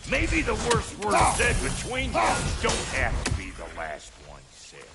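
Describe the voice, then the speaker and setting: An actor playing a gruff, blunt dwarf warrior in a video game, gravelly and colloquial, with a teasing streak. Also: male voice, sits high, huge pitch swings, a little slow, full character voice. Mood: frank, reflective. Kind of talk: video game character acting. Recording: loud game music behind.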